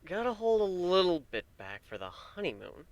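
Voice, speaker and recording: Man playing a gentle, smooth-voiced pegasus husband. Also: sits high, big pitch swings, normal speed, no room sound, highs cut off, voice pushed up. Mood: wry, amused. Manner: soft, soothing, gently teasing. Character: gentle, wry male pegasus pony, devoted new husband.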